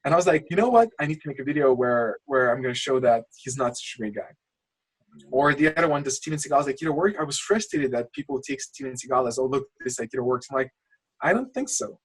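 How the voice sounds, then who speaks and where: A man from Lithuania speaks English as a second language in a husky voice. He talks at a middle pitch over a video call. He coaches in a rambling, passionate way and restarts his sentences often. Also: lively intonation, quick pace, low-quality recording. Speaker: channel coach. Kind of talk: casual screen-share coaching call.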